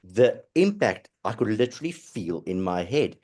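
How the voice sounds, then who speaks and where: A man in a casual group discussion, talking haltingly with many restarts and murmurs of agreement. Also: fairly low pitch, sing-song, a little mellow. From South Africa.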